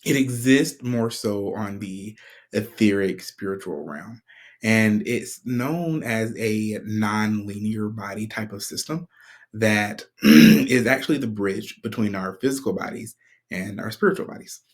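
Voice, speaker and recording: A man hosting a spiritual podcast in a casual, conversational way, clearing his throat often while his voice recovers. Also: lowish voice, a little slow. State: recovering from a sore voice. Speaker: podcast host.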